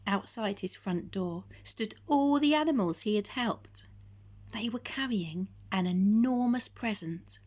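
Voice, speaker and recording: A woman reading a children's story in a measured, expressive storytelling manner. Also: medium pitch. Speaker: storytime reader. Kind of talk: scripted children's story narration.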